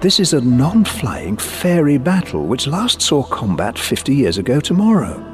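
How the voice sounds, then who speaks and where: A man's voice: mid-range voice, huge pitch swings, faint music behind, pitched above the performer's own voice. A man voicing an older, courteous, puzzled former air force pilot in a story. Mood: faltering, explaining. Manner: formal, halting, explanatory.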